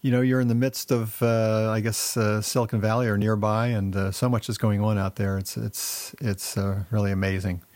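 A man's voice: fairly low pitch, level tone, normal speed, few pauses, a little mellow, no room sound. A man hosting a podcast, reading the intro and sponsor notes, then asking friendly questions with fillers. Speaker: podcast host.

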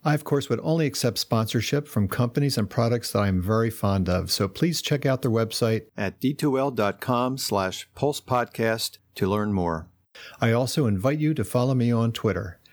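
A man's voice: mid-range voice, expressive, normal speed, dry recording. A man hosting a podcast, reading the intro and sponsor notes, then asking friendly questions with fillers. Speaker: podcast host.

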